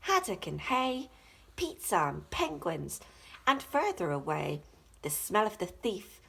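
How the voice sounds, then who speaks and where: A woman teacher reading a rhyming children's picture book in a dramatic, deliberate, expressive way. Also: on the low side, creaky, husky.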